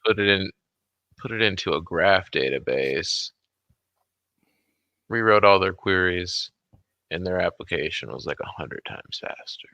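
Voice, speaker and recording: Deep, gravelly man, blunt and profane. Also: animated, unhurried, long pauses, sharp tone, no echo, highs cut off. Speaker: guest on a livestream talk show. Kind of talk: casual chat.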